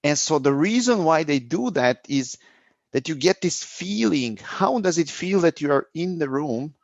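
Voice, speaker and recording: Middle-aged man, interview guest, reflective and halting, dry muffled sound. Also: mid-range voice, no room sound. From Serbia. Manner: thoughtful, searching for words, frequent restarts. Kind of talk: conversational interview answers.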